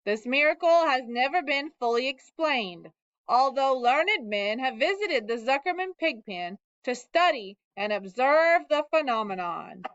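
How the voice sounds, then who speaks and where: A woman doing a pompous booming fair loudspeaker. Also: expressive, smooth, no echo. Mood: grand. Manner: pompous, slow and grand. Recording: dull lo-fi dry recording.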